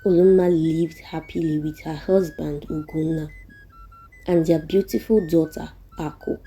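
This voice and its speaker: Dark-voiced Nigerian woman telling a folktale. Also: low-pitched, huge pitch swings, unhurried, husky. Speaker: storyteller. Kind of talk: scripted folktale narration. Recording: music playing behind the voice. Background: background music.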